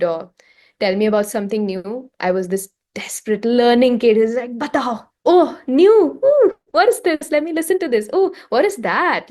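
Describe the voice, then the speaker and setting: A woman from India with an Indian accent, answering casually in an interview, chatty and expressive, slipping into Hindi phrases. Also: medium pitch. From Raipur, India. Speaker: singer-songwriter, interview guest.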